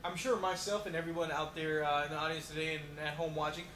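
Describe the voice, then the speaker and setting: A man's voice: fairly high pitch, flat delivery, fast. Rambling male talk show host, echoey. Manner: rambling, filler-heavy. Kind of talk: mock talk show interview. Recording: echoey room with loud noise.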